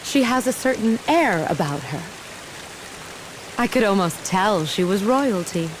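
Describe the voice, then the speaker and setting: A woman voicing a candid, friendly female mercenary in a video game, speaking in a measured, conversational way. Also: medium pitch, natural voice. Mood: admiring. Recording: steady rain hiss behind voice.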